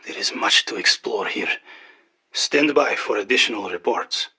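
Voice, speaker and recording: An actor playing a dutiful soldier, giving a terse, businesslike field report in a rough, hard-edged voice for a game. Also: male voice, on the low side, lively intonation, moderate pace, bright, forceful tone, exaggerated voice. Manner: terse, businesslike military delivery.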